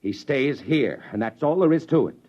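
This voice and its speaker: An actor playing a stubborn, emphatic American reporter in a 1950s radio drama. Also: male voice, mid-range voice, animated, lightly acted. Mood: insistent. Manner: brisk, emphatic, sceptical. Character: stubborn, ambitious male newspaper reporter. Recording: dull 1950s radio recording with music.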